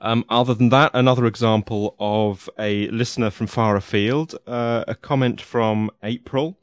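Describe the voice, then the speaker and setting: A man's voice: medium pitch, fairly even intonation, normal speed, no room sound. A man hosting a podcast, talking in a chatty, casual way with lots of fillers and restarts. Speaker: podcast host.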